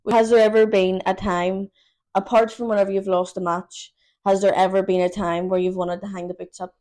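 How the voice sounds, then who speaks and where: Warm-voiced woman host. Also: mid-range voice, flat delivery, fairly quick. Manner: giggly, curious questioner. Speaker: podcast host. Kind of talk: casual interview questions.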